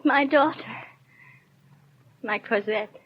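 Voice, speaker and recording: An actress playing a frightened, destitute young mother in a 1930s radio drama, pleading and halting as she speaks. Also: sits high, husky, exaggerated voice. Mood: bewildered, tearful. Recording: dull 1930s radio recording.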